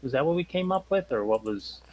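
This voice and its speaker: A man's voice: mid-range voice, expressive, fairly quick, a little mellow. A man playing a tabletop game, chatting casually with the other players. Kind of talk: casual chat.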